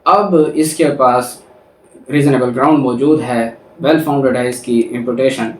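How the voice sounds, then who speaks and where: A man's voice: medium pitch, slow. A Pakistani man giving a didactic law lecture, reading formal text and explaining it by rephrasing and repeating. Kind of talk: lecture, reading statutes in English and explaining them in Urdu. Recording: roomy echo, distant from the mic.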